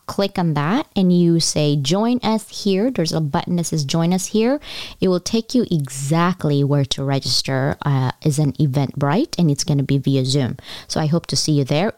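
A woman hosts her own podcast with upbeat, encouraging energy. She sometimes spells things out slowly and carefully. Her voice sits very low for a woman. The recording is dry and close, with no room sound. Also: a little mellow, dry recording. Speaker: podcast host. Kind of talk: podcast intro and promo.

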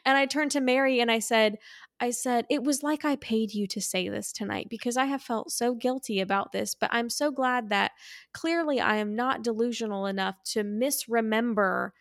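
High-voiced woman chatting on a podcast. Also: normal speed, dry recording, studio quality. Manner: animated storyteller who quotes herself and others. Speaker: podcast host. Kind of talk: casual chatty podcast conversation.

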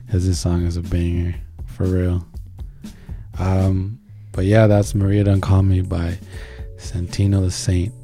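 Low-voiced man co-hosting, mellow tone. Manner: informal, slangy, enthusiastic. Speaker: music show co-host. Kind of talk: casual chat introducing songs.

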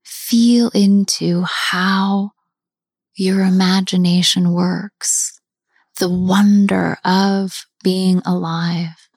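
Soft-spoken woman leading a guided meditation; husky, unhurried, half-whispered delivery. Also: fairly low pitch, steady tone, no echo, clean studio sound. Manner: slow, soft, often half-whispered with long pauses.

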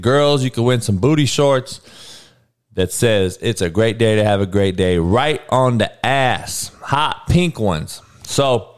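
A man's voice: medium pitch, clean tone. A man in his forties hosting a podcast livestream, chatting loosely with viewers and swearing a lot. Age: 40s. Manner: profane, loose and rambling, talks to the chat. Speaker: podcast host. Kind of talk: casual livestream chat with viewers.

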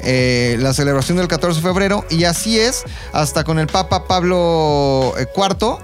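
A Mexican man with a slightly high voice tells history like casual gossip. He explains informally, slipping into slang and playful quoted lines, with clear music playing behind him. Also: lively intonation, moderate pace. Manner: informal, explanatory, role-plays imagined quotes. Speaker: podcast co-host telling history. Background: background music.

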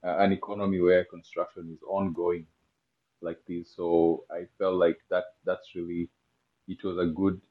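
A man with a Kenyan accent answers interview questions in a lowish voice, often stopping and restarting and breaking into easy laughter. The recording sounds dull and lo-fi. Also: level tone. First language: not English. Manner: halting, many restarts, laughs easily. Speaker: guest. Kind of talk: interview conversation.

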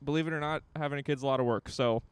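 A man's voice: mid-range voice, steady tone, rapid-fire, clean tone, no room sound. A young man co-hosting a podcast in casual, playful, rambling chat, recorded outdoors in a courtyard. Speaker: podcast co-host.

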